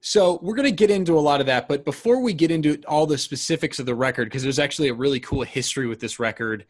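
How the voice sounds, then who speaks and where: A man's voice: a little high, quick pace. A man hosting a podcast about albums, chatting casually and enthusiastically, often restarting his sentences. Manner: rambling, self-correcting, enthusiastic. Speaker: podcast host.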